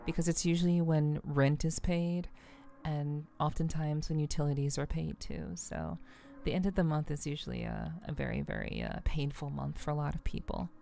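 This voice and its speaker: Low-pitched woman, mellow and creaky. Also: big pitch swings, moderate pace. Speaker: livestreamer, VTuber host. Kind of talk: casual chat with live stream viewers, ASMR stream. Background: faint music.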